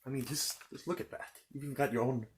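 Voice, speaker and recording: A man's voice: medium pitch, steady tone, a little hiss or hum, natural voice. A man playing a polite, bumbling, bookish fantasy knight in an ASMR roleplay, stammering and apologetic as he chats. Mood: fascinated, puzzled. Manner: halting, stammering, apologetic, chatty. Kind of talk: improvised ASMR character roleplay.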